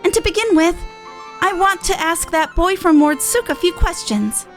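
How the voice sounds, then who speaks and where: A woman's voice: very high-pitched, moderate pace. A woman voicing a whimsical, sentimental little pixie from a video game, chatty and given to musing aloud. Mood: earnest, musing. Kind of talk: acted reading of video game dialogue. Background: game music.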